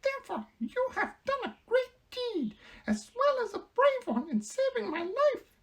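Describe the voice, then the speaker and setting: A woman reading a storybook aloud, voicing a tiny, proud queen of the field mice with a squeaky voice. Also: very animated, measured pace, full character voice. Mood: indignant regal. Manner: indignant, regal.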